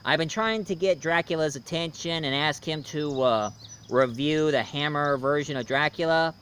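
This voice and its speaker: A man giving a casual, unscripted movie review as an online host, rambling and halting as he goes. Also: fairly high pitch, a bit bright, slight background noise. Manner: rambling, halting, hesitant delivery.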